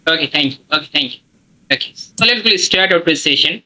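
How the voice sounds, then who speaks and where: A man's voice: fairly high pitch, lively intonation, quick pace, pushed and bright, poor audio. A man leading a live online training session over a video call, speaking plain non-native English with many "okay"s and fillers. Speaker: online course instructor.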